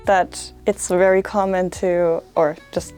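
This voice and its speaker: A woman's voice: fairly low pitch, little pitch movement, slow, a little mellow, faint music behind. A woman in her twenties, a language student, answering interview questions in a casual, hesitant way full of fillers. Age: 20s.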